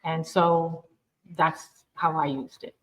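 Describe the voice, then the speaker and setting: A woman from Uganda speaking on a nonprofit panel, telling a story in an earnest, lively way. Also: sits low, slow pace. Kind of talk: panel discussion. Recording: dull lo-fi sound.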